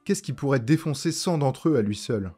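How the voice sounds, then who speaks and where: A man's voice: medium pitch, animated, normal speed. A young man giving a scripted, irreverent recap commentary in a colloquial, sarcastic way, with music behind him. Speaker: video essayist narrator. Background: background music.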